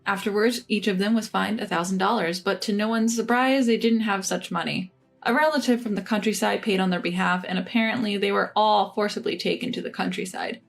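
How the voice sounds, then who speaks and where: A woman narrating a scripted first-person revenge story in an even, measured reading. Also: mid-range voice, creaky, soft background music.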